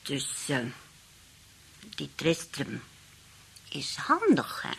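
An actress playing a feisty, scheming old great-aunt in a radio play, husky and indignant, grumbling and outspoken. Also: female voice, sits low, huge pitch swings, extremely slow, a bit bright, a little hiss or hum, exaggerated voice. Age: older.

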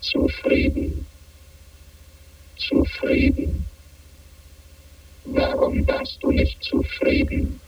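Emotionless alien questioner in a radio play, super high electronic voice, dead flat, slow probing questions. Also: dull audio, exaggerated voice. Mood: cold, probing.

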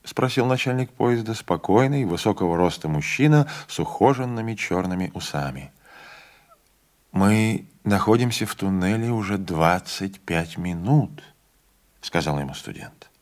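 A man narrating a literary audiobook with an expressive reading, giving the characters' lines a voice of their own. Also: low-pitched, normal speed.

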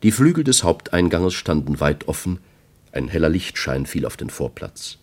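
A male eyewitness in a radio play with a very deep, husky voice. He recounts events in the first person, soberly and factually, as scripted narration. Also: very animated, normal speed, a little mellow, exaggerated voice. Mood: sober, gripped.

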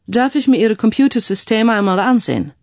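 A woman voicing a relaxed, grinning English male IT investigator in an audiobook, casually dropping in English phrases. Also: fairly high pitch, fairly quick, no room sound, clean studio sound, pitched above the performer's own voice. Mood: polite. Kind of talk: audiobook character dialogue.

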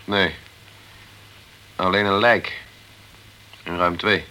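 A dogged, forceful male investigator. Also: deep voice, fairly even intonation, drawn-out words, full character voice, rough recording. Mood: weary, terse. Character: dogged, straight-talking insurance investigator, adult man. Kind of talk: Dutch radio crime drama acting.

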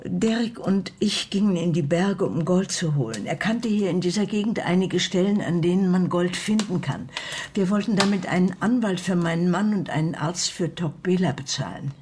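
A woman's voice: lowish voice, normal speed, a little mellow, a little hiss or hum, close to an everyday voice. A woman playing a bitter, grieving mother in a German Western radio play, recounting her past in a grave, emotional way. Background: music.

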